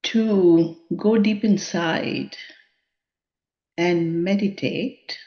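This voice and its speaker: A woman leading a guided meditation in a measured, contemplative manner, leaving long pauses between phrases. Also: lowish voice. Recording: dull, muffled lo-fi sound.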